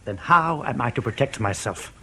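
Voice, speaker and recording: A man's voice: mid-range voice, animated, pushed and bright, breathy edge, exaggerated voice. An actor in a radio drama playing an anxious, proud East German official in his thirties who has defected, speaking formally and carefully. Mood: tense, guarded. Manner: formal, careful, precise diction with hesitant pauses.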